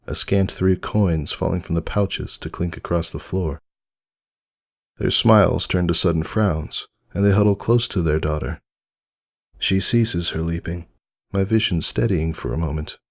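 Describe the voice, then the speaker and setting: Casting: wistful old rag doll narrator played by a man, deep and creaky, earnest dramatic storybook reading over music. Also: a little mellow. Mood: anxious, sinking. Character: wistful, devoted old stitched toy doll, longing for friendship. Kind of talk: dramatic fan fiction narration. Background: music under the voice.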